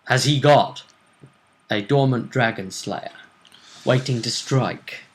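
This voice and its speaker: A man's voice: medium pitch, slow pace, a little hiss or hum. A man hosting a casual role-play tournament chat, building up the fighters with teasing drama. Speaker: host of a tabletop role-play vidcast.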